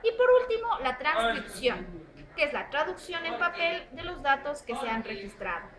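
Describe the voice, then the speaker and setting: High, lively young woman presenting a lesson. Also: normal speed, crowd noise. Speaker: student presenter. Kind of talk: reading a class presentation.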